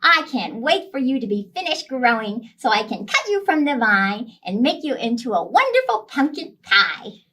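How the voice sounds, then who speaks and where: A woman's voice: fairly high pitch, sing-song, moderate pace, bright, forceful tone, exaggerated voice. A woman voicing a cheerful storybook monkey who dotes on his pumpkin, in an adoring, singsong manner. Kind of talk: children's storybook character.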